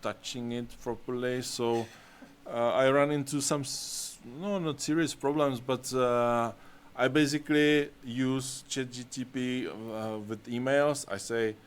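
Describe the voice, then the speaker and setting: A man's voice: mid-range voice, steady tone, a little slow, smooth. A man whose first language is Czech, answering questions in a casual Q&A, hesitant and full of fillers as he searches for words. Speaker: game studio staff answering questions. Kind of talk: casual Q&A talk.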